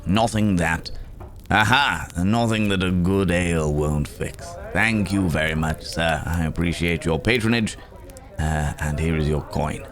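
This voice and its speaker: A man acts out a werewolf wanderer with an extremely low voice and an unhurried pace. He is gentlemanly and wry, with a brooding streak, and he pauses and murmurs as he muses. The delivery is close, intimate ASMR fantasy roleplay. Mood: wry, easygoing. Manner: halting, musing, gentlemanly, with hums and murmurs. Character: weary, courteous male werewolf traveler, wry and brooding.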